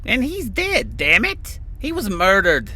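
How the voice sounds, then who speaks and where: A man playing an anguished, accusing city mayor in an audio drama, with a dramatic, halting delivery. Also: high-pitched, wildly swooping pitch, bright, forceful tone, exaggerated voice. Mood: anguished, grim.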